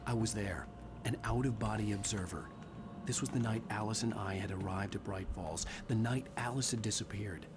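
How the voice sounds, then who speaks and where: An actor playing a haunted male writer, narrating in a grave, measured way over loud game music. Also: fairly low pitch, background noise, natural voice. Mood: somber. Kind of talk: scripted narration.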